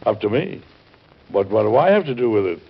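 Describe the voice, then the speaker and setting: A man playing a dignified, courteous statesman, kindly but firm, with formal measured phrasing and a gravelly voice in an old-time radio drama. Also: lowish voice, big pitch swings, full character voice. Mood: courteous, puzzled. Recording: dull, band-limited old radio recording.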